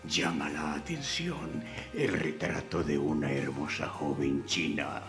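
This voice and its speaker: Solemn radio-drama narrator, deep, gravelly, scripted storytelling, roomy sound. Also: male voice, slow pace, music in the background, exaggerated voice.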